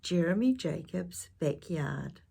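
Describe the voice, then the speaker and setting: A woman reading a picture book aloud to young children, expressive and dramatic, with gentle questioning asides. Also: lowish voice, takes it slowly, creaky, breathy edge. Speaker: children's storytime reader.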